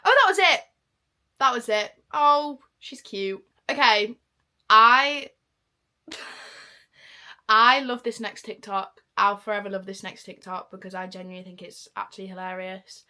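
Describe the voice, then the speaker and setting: Bright-voiced, self-mocking young woman. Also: medium pitch, unhurried, long pauses. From Manchester, England. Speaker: vlogger. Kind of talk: casual reaction vlog chat.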